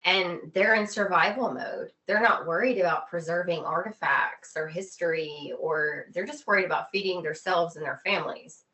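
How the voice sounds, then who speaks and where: A woman guest on a video call, chatting informally and telling anecdotes in an animated way. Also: on the low side, normal speed, few pauses, poor audio. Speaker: podcast guest. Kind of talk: casual chat, telling travel anecdotes.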